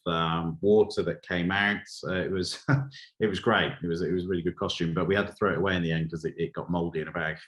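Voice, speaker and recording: Guy from wales, low voice, film director being interviewed, kinda halting, feels out his words. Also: fairly bright tone. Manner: hesitant, halting, searching for words. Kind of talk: conversational interview answers.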